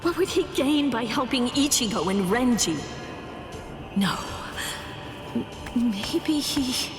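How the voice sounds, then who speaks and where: A woman voicing an earnest, distraught young captive warrior in an anime game dub, halting and intense. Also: fairly high pitch, expressive. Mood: troubled, reflective. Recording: echoey hall reverb, music behind.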